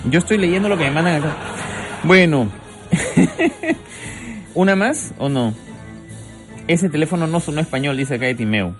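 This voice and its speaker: A man's voice: on the high side, expressive, moderate pace, long pauses, over music. A man hosting a comic radio segment, playfully teasing callers and reading jokes aloud. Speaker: radio host.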